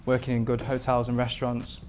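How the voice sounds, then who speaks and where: A man in his thirties, a performance chef from England, answering interview questions in a relaxed, rambling way with many fillers, in a home kitchen. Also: mid-range voice, fairly quick, slight background noise. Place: modern home kitchen indoors.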